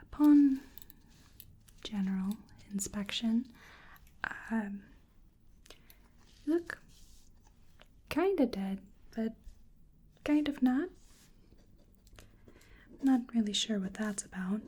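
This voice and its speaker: Female ASMR performer as a curious, polite examiner: breathy half-whisper, mellow tone, gentle and musing, unhurried. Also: fairly high pitch, animated. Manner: gentle, hesitant, musing delivery. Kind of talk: soft-spoken ASMR roleplay.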